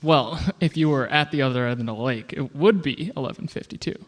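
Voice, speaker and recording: A man's voice: mid-range voice, fairly quick, long reverb tail, lightly acted. An actor playing an amused, matter-of-fact young man in an old-time radio comedy sketch. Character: easygoing, amused young man from the cleanup crew.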